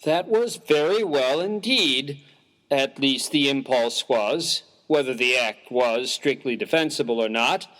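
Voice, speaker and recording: A man's voice: mid-range voice, measured pace, fairly bright tone, natural voice, low-quality recording. A man playing a prim, earnest, mild-mannered clergyman in a dramatised story reading, speaking in a formal, precise, wordy way.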